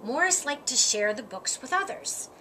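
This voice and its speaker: A woman reading a children's story aloud, with expressive, deliberate phrasing and dramatic emphasis. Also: high-pitched. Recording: audible background noise.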